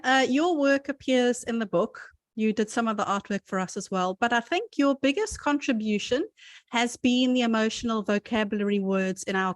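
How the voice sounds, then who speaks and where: A woman's voice: on the high side, no room sound. A woman author hosting her book launch, friendly and organised as she introduces each speaker. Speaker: author and event host.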